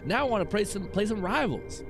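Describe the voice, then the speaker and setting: A man's voice: high voice, animated, fairly quick, over music. A young adult man streaming live, chatting with his viewers in a rambling, laugh-filled way with many restarts. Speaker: streamer reading chat. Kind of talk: casual live-stream chat.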